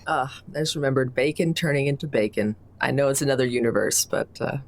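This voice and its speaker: A woman's voice: a bass voice, quick pace, vocal fry. A woman player in casual improvised game chat, wryly thinking aloud as she decides what to say.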